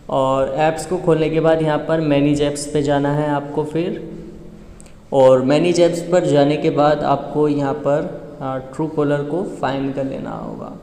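A man's voice: a little high, mellow. A man giving a casual step-by-step tutorial as host, explaining and repeating each step before the next. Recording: echoey, reverberant room.

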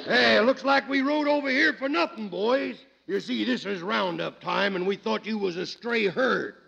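An actor playing a brash, joking Western outlaw with a gravelly, folksy drawl in old radio comedy style. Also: male voice, high-pitched, moderate pace, dull audio, over a noisy crowd. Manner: drawling, folksy, bungling comic delivery. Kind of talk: 1940s-50s radio comedy acting. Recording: noisy, echoing old radio recording.

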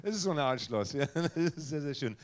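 Scottish host, laughing, lively pitch. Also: male voice, brisk, heavy background noise. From Scotland. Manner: laughs often, short agreeing replies. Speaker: onboard show host. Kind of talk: stage interview. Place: ship theater before an audience.

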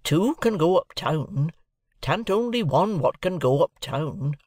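Narrator putting on a gruff, slow-drawling, surly blacksmith's man. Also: medium pitch, expressive, darkish tone, no echo, clean studio sound, pitched above the performer's own voice. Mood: surly, stubborn. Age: young adult. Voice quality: gruff, growling. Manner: drawn-out, rustic working-class speech. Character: gruff, sullen journeyman blacksmith, surly and spiteful.

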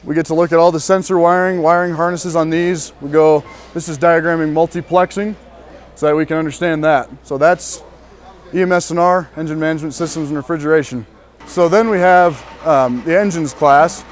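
A young man from northern Colorado, a student casually showing visitors around a large diesel workshop, pausing often with ums and restarts. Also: on the high side, fairly even intonation. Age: young adult. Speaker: student giving a shop tour. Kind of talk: walking tour guide talk.

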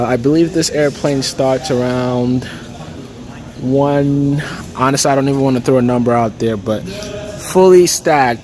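A man giving a casual, relaxed walkaround tour as a vlogger, filling pauses with "okay" and "uh", recorded on a handheld phone in a big indoor hangar. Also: medium pitch. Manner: relaxed, chatty, lots of "okay" and "uh". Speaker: aviation vlogger. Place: large aircraft hangar at an expo, indoors. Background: music and crowd chatter.

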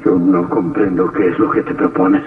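A man's voice: low voice, level tone, moderate pace, close to an everyday voice. An actor playing a frightened, grieving man in an old radio horror drama, with an agitated, dramatic delivery. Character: anguished, frightened grieving man facing Death. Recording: tinny, distant old radio recording, faint music.

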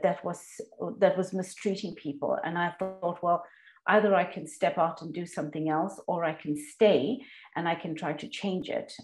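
A woman from South Africa giving reflective interview answers as a lecturer, thoughtful and halting as she rephrases her points. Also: fairly low pitch, darkish tone, poor audio. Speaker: university lecturer, podcast guest.

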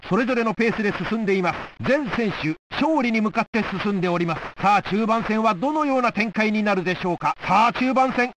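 A man playing an excitable old-time stadium announcer, giving formal, emphatic play-by-play for a sports video game. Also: high voice, quick pace, few pauses, noisy background, natural voice. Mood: eager, focused. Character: excitable male 1960s Olympic stadium announcer.

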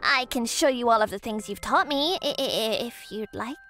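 A woman voicing a bashful but feisty, secretly sweet teenage schoolgirl maid in a manga romcom dub, shy and halting. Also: fairly high pitch, a bit bright, lightly acted. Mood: earnest, bashful. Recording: music behind the voice.

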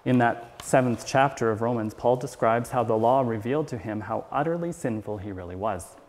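A middle-aged man reads a sermon aloud from the pulpit. His delivery is measured and earnest, clearly following a script. The sound carries the long reverb of a church hall. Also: mid-range voice, a little mellow. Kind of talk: read sermon. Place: church sanctuary at a wooden pulpit, indoors.

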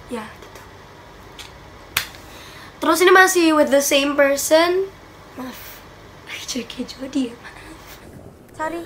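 A woman's voice: high-pitched, slight background noise. A young woman chatting casually through a Q&A vlog in her bedroom, a little stuffy-nosed from a cold. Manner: chatty, giggly, animated hand gestures. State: slightly stuffy nose from a cold. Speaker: vlogger. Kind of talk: casual Q&A chat. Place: small bedroom indoors.

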